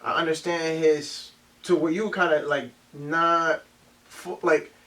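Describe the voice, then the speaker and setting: A man co-hosting a casual group discussion, talking in halting bursts with many restarts. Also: a little high, steady tone, sharp tone, far from the mic. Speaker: podcast co-host.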